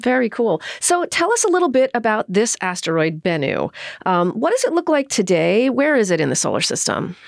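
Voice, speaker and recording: A woman's voice: on the high side, sing-song, brisk, vocal fry, dry recording, clean studio sound. A young adult woman hosting a science podcast, upbeat and conversational. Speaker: podcast host. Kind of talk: podcast interview.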